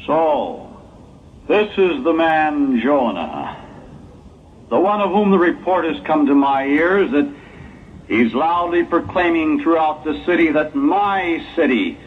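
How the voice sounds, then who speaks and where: Pompous, demanding pagan king male actor, slow, highly inflected; narrow-band, noisy old audio drama. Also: animated. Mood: haughty, demanding. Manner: regal, pompous, theatrical. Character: haughty pagan king of Nineveh, suspicious and demanding. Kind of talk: 1960s religious audio drama acting. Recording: thin, noisy 1960s recording.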